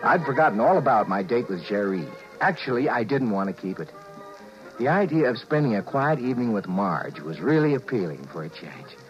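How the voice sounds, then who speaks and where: A man's voice: mid-range voice, very animated, a little fast, music in the background, natural voice. A man acting a flustered, guilty husband who stammers and narrates his story in a 1950s radio drama. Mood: confiding narration. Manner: stammering, nervous, confiding narration. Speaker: actor playing George, narrator and lead. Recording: dull vintage 1950s radio broadcast.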